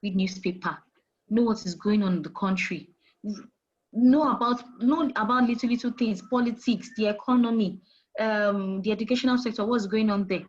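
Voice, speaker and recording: A woman's voice: medium pitch, moderate pace, slightly rough. Woman, Nigerian accent, HR manager, career advice, emphatic, rhetorical questions, muffled recording. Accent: Nigerian English. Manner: emphatic, repeats key points, asks rhetorical questions. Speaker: HR manager guest.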